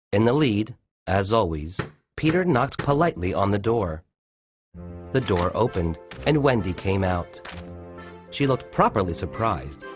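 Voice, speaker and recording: A narrator, a man, reading a scripted children's story. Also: on the low side.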